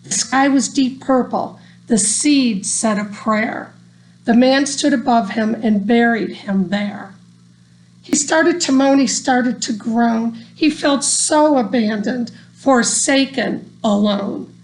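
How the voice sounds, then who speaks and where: A woman reading a rhyming children's story aloud in an expressive, deliberate storytelling manner. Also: a little high, low-quality recording. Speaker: storybook reader.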